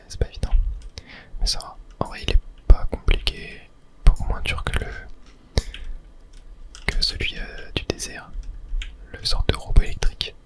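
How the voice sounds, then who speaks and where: Whispered ASMR game commentary. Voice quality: soft unvoiced whisper. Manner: hushed running commentary in short murmured phrases. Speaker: gaming ASMR streamer. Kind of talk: ASMR video game let's play commentary.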